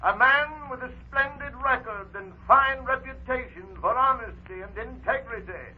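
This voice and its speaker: A woman's voice: a little high, moderate pace, phone-quality sound, a little hiss or hum, close to an everyday voice. A woman acting an earnest, formal radio broadcaster making a declamatory speech in a 1930s drama. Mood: solemn, resolute.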